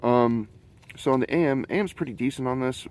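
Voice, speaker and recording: A man's voice: mid-range voice, a little fast, a little mellow. Casual man reviewing gadgets. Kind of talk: casual product review, explaining to viewers.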